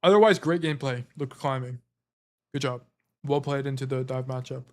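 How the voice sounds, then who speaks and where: A man's voice: mid-range voice, normal speed. A man coaching as a stream host, reviewing gameplay in a casual, rambling way while losing his voice. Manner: casual, rambling, filler-heavy explanations. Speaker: game coach.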